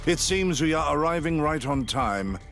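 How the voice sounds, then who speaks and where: A zealous, menacing inquisitor in a fantasy game. He is formal and imperious, fairly high-pitched with big swings of intonation, over prominent music. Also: expressive, normal speed, creaky, fairly bright tone. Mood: smugly commanding. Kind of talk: scripted fantasy game dialogue.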